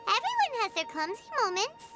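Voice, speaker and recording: Squeaky, excitable little fairy companion. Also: female voice, a little fast, exaggerated voice. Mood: chatty. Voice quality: squeaky, childlike. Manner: bubbly, emphatic, refers to self in third person. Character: tiny floating fairy companion, childlike, excitable, food-loving. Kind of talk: video game character acting. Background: game music.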